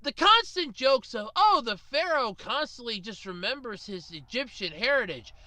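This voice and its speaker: A man's voice: very high voice, big pitch swings, normal speed, pushed and bright, dry recording. A man delivering an informal opinion rant to viewers as a video host, in an exasperated, emphatic, sweary way. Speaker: online ranter, video host.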